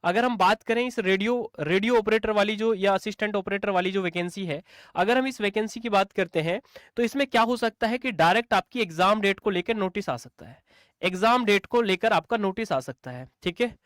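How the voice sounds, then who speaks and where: A man's voice: high-pitched, a little fast, a bit bright, no room sound, clean studio sound. A man explaining exam updates informally to students, repeating points and posing rhetorical questions. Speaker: exam coaching teacher.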